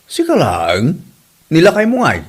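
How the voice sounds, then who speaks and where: A man's voice: on the high side, huge pitch swings, a little slow, slightly rough, exaggerated voice. A man acting as an amused, teasing visiting relative in a comedy drama.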